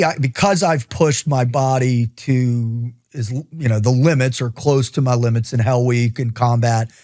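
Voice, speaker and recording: Male host, unhurried delivery, earnest and rambling in his questions. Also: medium pitch, darkish tone. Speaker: podcast host. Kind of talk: casual interview talk.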